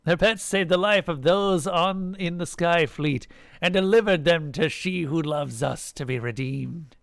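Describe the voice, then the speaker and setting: A man's voice: fairly high pitch, gravelly, dry recording, clean studio sound, pitched above the performer's own voice. A man playing a solemn priest who intones the narration of a sacred pageant within a story. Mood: solemn, reverent. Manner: intoning, measured.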